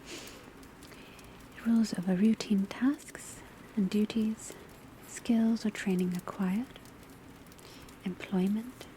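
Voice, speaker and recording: A woman playing a calm, studious witch academy professor, lecturing in a soft, breathy, mostly whispered ASMR style with measured, deliberate delivery. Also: mid-range voice, natural voice, rough recording. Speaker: teacher lecturing a class. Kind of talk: ASMR classroom roleplay, soft-spoken lecture. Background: faint patter of rain on rooftop.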